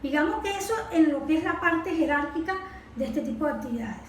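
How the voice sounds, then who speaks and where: Female expert guest, Venezuelan accent, high register, explanatory interview delivery, distant echoey sound. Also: lively intonation, brisk. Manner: didactic, with rhetorical questions and check words. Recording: echoey room, distant mic, faint noise.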